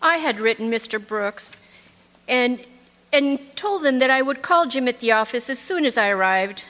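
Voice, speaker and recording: A woman's voice: fairly high pitch, lively intonation, moderate pace, fairly bright tone. A woman playing an anxious, careful murder suspect in an old-time radio mystery. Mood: helpful. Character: outwardly helpful, anxious female murder suspect. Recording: echoey hall stage recording.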